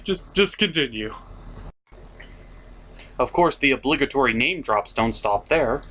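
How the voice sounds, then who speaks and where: A man's voice: medium pitch, animated, measured pace, fairly bright tone. A man hosting a comedic cartoon review, partly scripted and partly ad-libbed, with a sarcastic, theatrical delivery. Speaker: host of a cartoon review show.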